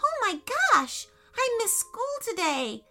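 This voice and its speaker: Excitable earnest little schoolgirl, very high and bright, storybook kid, woman putting her on. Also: slow pace, faint music behind, full character voice. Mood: flustered. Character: eager curious young schoolgirl.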